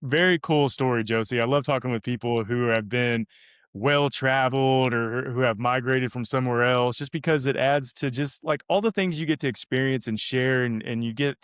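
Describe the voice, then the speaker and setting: A man's voice: mid-range voice, normal speed, a bit bright, clear, resonant tone, no echo. A man hosting a podcast interview, rambling and repeating words as he frames his questions. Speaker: podcast host. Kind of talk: casual interview conversation.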